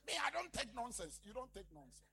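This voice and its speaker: A man's voice: extremely high pitch, big pitch swings, brisk, gravelly, pushed and bright, husky. A man preaching a sermon as pastor, emphatic and calling out to his congregation. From Ghana. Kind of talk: lively sermon.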